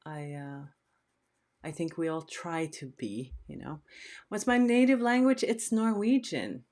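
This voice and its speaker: Middle-aged woman from Sweden, native Swedish, low-ish voice, slow and chatty; live stream host. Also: animated, a little mellow. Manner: chatty, unhurried, reads out viewer questions. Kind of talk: casual live stream chat.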